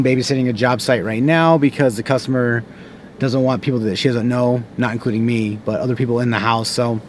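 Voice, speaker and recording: American man vlogging over clear background noise. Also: mid-range voice, a little mellow. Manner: rambling, with frequent false starts. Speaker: renovation contractor and vlogger. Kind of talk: casual unscripted vlog talk. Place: inside a parked car.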